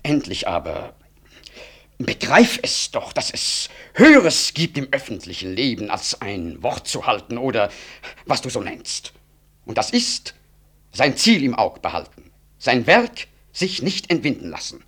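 An actor playing a self-important, middle-aged government minister in a radio drama, speaking formally and rhetorically. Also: male voice, medium pitch, huge pitch swings, a little slow, fairly bright tone, husky, heavily acted. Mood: grandiose, self-justifying. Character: self-important male government minister, smooth, self-justifying old friend. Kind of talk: 1960s German radio drama acting.

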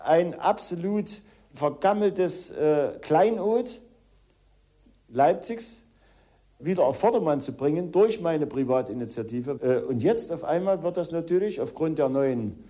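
A man's voice: a little high, animated, measured pace, warm tone. A man making a wordy, formal, indignant statement in his own defence. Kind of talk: self-justifying interview statement.

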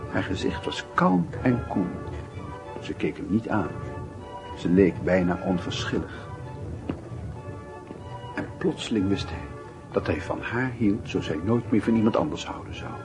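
Casting: solemn literary narrator for radio drama, very low male voice, introspective and dramatic. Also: big pitch swings, a little slow, pauses often, over music, full character voice. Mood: intense, wistful. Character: solemn storyteller of a lovesick youth's inner life.

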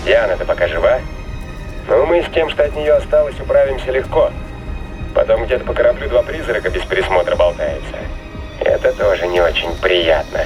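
A man's voice: lowish voice, expressive, brisk, soft background music, lightly acted. An actor playing a ruthless, sardonic man fighting aboard a spaceship in a sci-fi thriller audio drama. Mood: confident. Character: ruthless gloating male spaceship fighter, triumphant, mocking.

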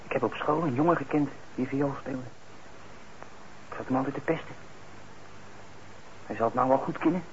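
An actor in a radio play as a shy, eager young working-class lad who stammers and hesitates. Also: male voice, medium pitch, normal speed, pauses often, lightly acted. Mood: wistful. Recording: dull, noisy old radio recording.

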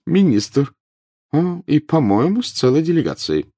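A brisk older schoolmistress from a fantasy storybook, acted out by a man. The pitch is lifted and lively, with a businesslike manner and a husky edge. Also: no room sound, studio quality, heavily acted. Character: brisk, businesslike older female professor of magic.

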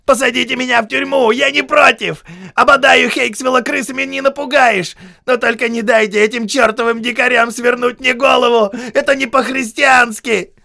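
A man voicing a foul-mouthed, groveling army sergeant who screams and pleads in a shrill, hoarse voice, in an audiobook. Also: steady tone, normal speed, sharp tone, heavily acted. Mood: pleading. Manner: screaming, pleading, spitting insults.

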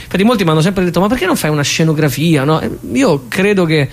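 Higher-voiced man chatting in an interview. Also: lively intonation, fast talker. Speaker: interview guest, theatre actor and writer. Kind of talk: casual spoken interview.

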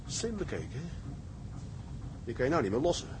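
An actor playing a blunt tugboat captain, stern but caring, in a naturalistic drama. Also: male voice, big pitch swings, fairly quick, natural voice. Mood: stern, scolding. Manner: blunt, scolding. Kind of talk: naturalistic Dutch drama acting. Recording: clear engine rumble and ship noise.

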